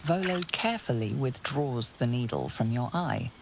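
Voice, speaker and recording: A woman playing a solemn, measured storyteller who narrates a fantasy video game. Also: extremely low pitch, expressive, background noise. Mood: ominous, measured. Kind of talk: scripted second-person game narration.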